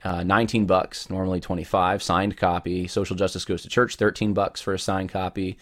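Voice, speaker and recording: A man's voice: low voice, flat delivery, a little fast. A man hosting his own podcast, talking casually and rambling with many restarts while he has a cold. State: has a cold, voice deeper than usual. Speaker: podcast host. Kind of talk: casual solo podcast talk.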